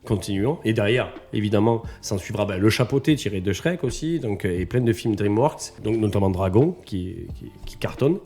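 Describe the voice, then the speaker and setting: A man's voice: on the low side, lively intonation, moderate pace, hardly any pauses, mellow, faint music behind. A man hosting a casual podcast chat, steering the talk with questions. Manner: chatty, steers the conversation with questions. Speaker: podcast host.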